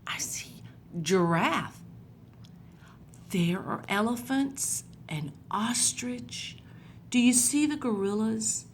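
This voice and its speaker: A woman telling a Bible story to young children, pointing out the pictures in a gentle, patient way. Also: on the high side, animated, takes it slowly, long pauses, slight background noise.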